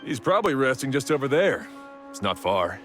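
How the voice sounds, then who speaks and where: A man's voice: mid-range voice, big pitch swings, fairly quick, fairly bright tone, soft background music. A man voicing a busy, helpful blacksmith in a video game. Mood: matter-of-fact, helpful. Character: busy, helpful male blacksmith with gruff candour. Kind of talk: scripted game dialogue.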